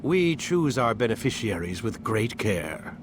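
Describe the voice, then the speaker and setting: An actor playing a smooth, condescending middle-aged male scientist in a video game, calm and superior. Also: lowish voice, measured pace. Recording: game scene with clear background noise.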